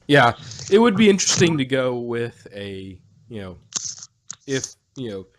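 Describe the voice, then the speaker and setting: A man co-hosting a casual fan discussion, talking in halting phrases with a joking bent. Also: medium pitch, very animated. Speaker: podcast co-host.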